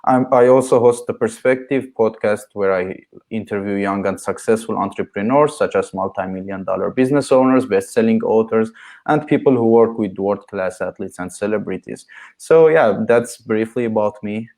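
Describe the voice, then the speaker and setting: A man's voice: lowish voice, moderate pace, darkish tone. A young man from Syria, a podcast guest, answering earnestly and filling his speech with many "like"s. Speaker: guest, marketing agency founder. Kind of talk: interview answers.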